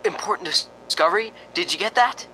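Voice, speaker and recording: A man's voice: high-pitched, animated, fast, sharp tone, a little hiss or hum, low-quality recording. A man acting in a radio drama as a nervous radio host in a haunted house, stammering and hesitant. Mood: uneasy.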